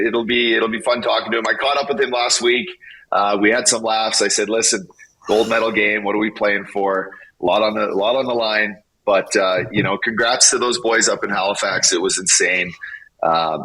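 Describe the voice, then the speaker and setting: A man co-hosting a podcast, a former hockey player, talking with energetic, playful hype. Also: on the low side, moderate pace, husky, poor audio. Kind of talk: casual podcast chat.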